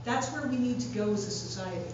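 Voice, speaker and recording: Woman speaking from afar in echoey hall. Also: medium pitch. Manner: measured, plain-spoken, repetitive for emphasis. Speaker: political candidate. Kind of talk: candidate forum speech. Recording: echoey hall, distant mic, dull sound, background noise.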